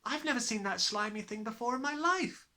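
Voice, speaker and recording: A reader voicing a clever little schoolgirl who earnestly pleads innocence, in a voice pitched much higher than the reader's own. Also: brisk, bright, forceful tone, close to an everyday voice. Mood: pleading.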